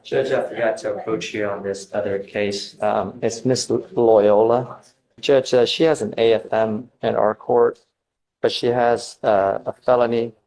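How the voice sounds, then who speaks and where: A man's voice: fairly low pitch, fairly even intonation, measured pace, a little mellow, low-quality recording. A man addressing a judge as a lawyer, informal, deferential and a little hesitant. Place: courtroom.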